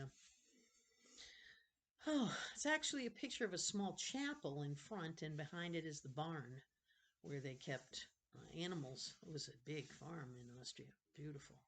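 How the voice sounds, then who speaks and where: A woman's voice: low voice, slight background noise. An older woman reads a novel for school-age children. Her storytelling slips easily into informal, rambling asides, where she stops and corrects herself as she goes. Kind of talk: read-aloud storytelling with chatty asides.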